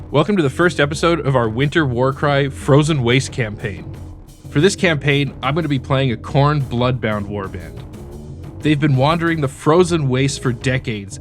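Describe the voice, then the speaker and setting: A man presenting a tabletop battle report as host and player. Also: mid-range voice. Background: faint music.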